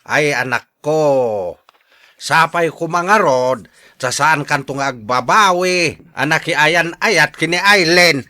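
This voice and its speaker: A man's voice: a little high, slow pace, sharp tone. A man with an Ilocano accent playing a fretful, nagging older father in a melodramatic radio drama. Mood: worried.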